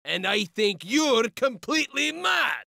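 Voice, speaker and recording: A man voicing a blunt, loyal and suspicious warrior sidekick in a fantasy video game, with a gravelly, excitable voice. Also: very high-pitched, takes it slowly, no room sound, studio quality, heavily acted. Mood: indignant.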